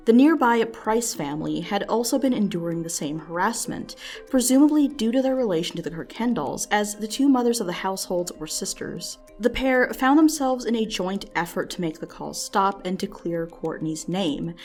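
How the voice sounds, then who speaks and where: A woman narrating a scripted true-mystery video as its host, in a measured storytelling manner with dramatic emphasis, close on the mic. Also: medium pitch, expressive, darkish tone, soft background music.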